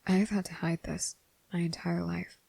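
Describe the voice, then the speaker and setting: Casting: male actor as gentle, tormented young vampire man for ASMR romance drama; heavy fry, husky, hesitant delivery. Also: high voice, flat delivery, dry recording, heavily acted. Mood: anguished, fearful. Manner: hesitant, halting, breathy, hushed. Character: anguished gentle young vampire man confessing secret love.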